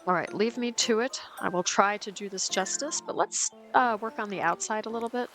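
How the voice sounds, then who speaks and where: A woman's voice: mid-range voice, fairly quick, a bit bright, soft background music. A woman casually commenting on her gameplay as host, easygoing and chatty with plenty of ums, a little sniffly as she gets over a cold. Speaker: let's play host.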